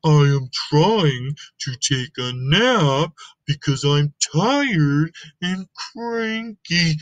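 Man as a very slow, tired, cranky elephant. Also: on the high side, expressive, no room sound, muffled recording, voice pushed up. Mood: grumpy. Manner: slow, deliberate, grumbling delivery. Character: tired, cranky male elephant from a children's picture book. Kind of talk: picture book read-aloud.

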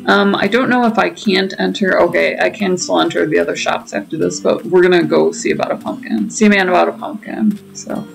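Woman, heavy fry, chatty gaming commentary, thinking aloud, soft game music behind. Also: lowish voice, fairly quick, hardly any pauses, low-quality recording. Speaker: game streamer. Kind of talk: casual playthrough commentary.

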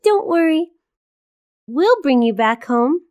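A woman voicing a cheerful, kindly little girl in a children's cartoon, speaking simply and clearly to young viewers. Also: high-pitched, big pitch swings, slow, darkish tone, dry recording, clean studio sound, exaggerated voice. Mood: gentle, caring.

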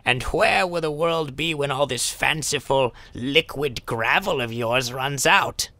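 A man's voice: medium pitch, unhurried, pushed and bright, no room sound. A man playing a pompous, dim-witted, cranky old rich man, blustering and muddled, in a theatrical comic dub.

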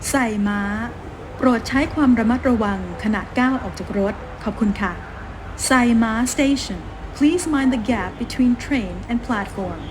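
Slow, high-voiced woman announcing train stops. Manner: formal, measured, clearly enunciated. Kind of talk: scripted public transit announcement. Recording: train announcement with loud cabin noise. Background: train running noise.

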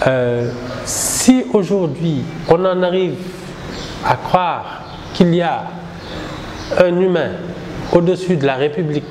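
A middle-aged man from Senegal speaks slowly and deliberately, his voice sitting a touch high for a man. He answers interview questions with measured, reflective phrasing. The small indoor room adds a long, roomy reverb, with faint ambience underneath. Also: background noise. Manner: measured, reflective, gestures a lot. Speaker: satirical newspaper founder.

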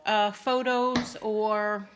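A woman's voice: mid-range voice, fairly even intonation, extremely slow, slight background noise. A middle-aged woman chatting casually as she films herself cooking a family dinner in her home kitchen, in a homey, talkative way. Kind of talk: casual cooking vlog narration. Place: home kitchen and living room. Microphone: phone camera held in hand.

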